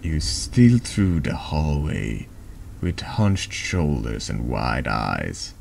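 Very deep, slow, creaky man narrating. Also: sing-song, a little mellow, a little hiss or hum. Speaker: vtuber reading a text game aloud. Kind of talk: slow horror ASMR narration.

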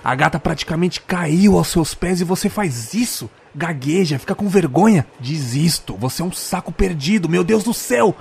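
A man's voice: a little high, animated, moderate pace, gravelly, few pauses, a little mellow, husky, heavily acted. A man playing a brash, mocking ghost companion with a Brazilian accent in an audio drama. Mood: exasperated. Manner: mocking, exasperated, slangy. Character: teasing male ghost companion, brash womanizing pirate. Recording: music under the voice.

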